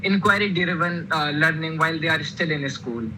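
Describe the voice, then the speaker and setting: A man's voice: fairly high pitch, moderate pace, pushed and bright, a little hiss or hum, low-quality recording. A young man, a student hosting a podcast, reading his lines hesitantly with many fillers. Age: young adult. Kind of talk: reading an outro and questions.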